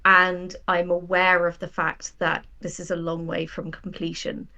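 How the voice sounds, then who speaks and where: A woman's voice on the lower side, with a marked creak. She talks as an interview guest, reflecting openly and explaining her working process with frank self-doubt. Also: level tone, measured pace, pushed and bright. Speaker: guest, audio drama writer.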